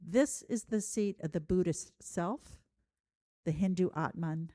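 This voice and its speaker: A woman delivering a reflective sermon in a measured way, posing rhetorical questions as she goes. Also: fairly low pitch, mellow, no echo, studio quality. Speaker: preacher giving a sermon.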